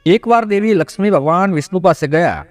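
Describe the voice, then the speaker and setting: Male storyteller, higher voice, dry close sound, devotional narration, direct address to viewers. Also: animated, a little fast, dry recording. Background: background music.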